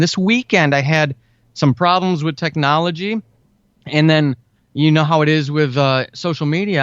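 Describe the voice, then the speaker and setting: A man's voice: fairly high pitch, fairly bright tone, smooth, no room sound. A man in his forties talking casually and earnestly as an interview guest, with an enthusiastic manner. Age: 40s. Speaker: guest, fiction and audio drama writer. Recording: dull, lo-fi band-limited audio.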